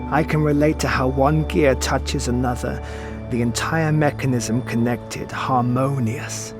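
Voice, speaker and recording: An actor playing a suave, sardonic middle-aged master thief and mentor in an audio drama, with florid, theatrical phrasing. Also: male voice, mid-range voice, steady tone, measured pace, natural voice. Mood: wistful, musing. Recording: music behind the voice.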